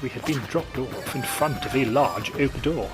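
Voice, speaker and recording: A man's voice: mid-range voice, normal speed, faint music behind. A man narrating a story with dramatic, playful spooky storytelling.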